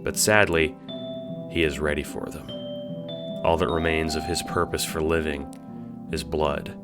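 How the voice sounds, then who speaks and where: A man performs a sly puppet-master of a storyteller in an audio drama, with a very deep male voice. The delivery is theatrical and knowing, made to toy with whoever listens. Music plays clearly underneath. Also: level tone, measured pace. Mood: grave, ominous. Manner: teasing, mock-cheerful, swooping between hushes and exclamations.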